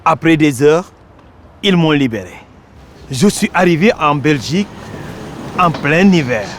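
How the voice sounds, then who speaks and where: A man performs a theatrical monologue, declaiming each phrase with dramatic pauses. His voice sits high for a man, and he takes his time, letting lines land slowly. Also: lively intonation, a little hiss or hum. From Senegal, Casamance. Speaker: actor.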